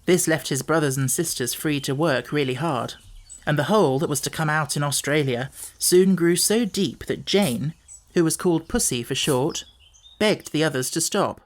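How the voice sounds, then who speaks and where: A man's voice: on the high side, normal speed. A man reading a children's audiobook as scripted storybook narration.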